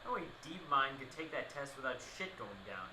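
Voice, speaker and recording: A man playing an excitable, smug field researcher in an amateur radio play, agitated and full of know-it-all confidence. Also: medium pitch, brisk, crowd noise, lightly acted. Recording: roomy, echoing sound.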